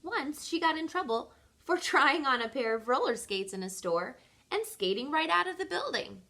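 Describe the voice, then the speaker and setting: A woman reading a children's picture book aloud like a teacher, with expressive, deliberate storytelling emphasis. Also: high voice.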